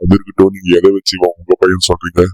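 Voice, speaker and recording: Man acting a exasperated cartoon adult male, deep voice, quick pace, gruff, scolding. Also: completely flat delivery, a little mellow, no echo, lightly acted.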